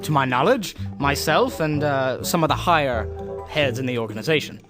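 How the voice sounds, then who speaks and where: Man playing an emphatic, animated secretive scholar. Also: mid-range voice, normal speed, music in the background, lightly acted. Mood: earnest. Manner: formal, theatrical, emphatic. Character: solemn, eager, secretive male scholar and old colleague. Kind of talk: comic audio adventure drama.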